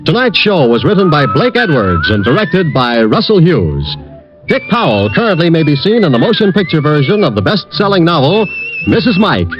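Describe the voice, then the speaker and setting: A male radio announcer reading the closing credits and promotions in a smooth, formal announcer style. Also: a little high. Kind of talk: scripted announcement. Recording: muffled vintage radio broadcast.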